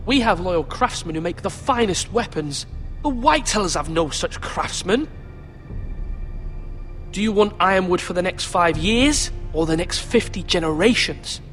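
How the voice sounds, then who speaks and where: Earnest, high-voiced boy lord. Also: expressive, a little slow, a bit bright. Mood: defiant. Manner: formal, earnest, firm. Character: earnest, defiant boy lord, proud and dutiful. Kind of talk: dramatic fantasy game acting. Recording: reverberant hall, music underneath.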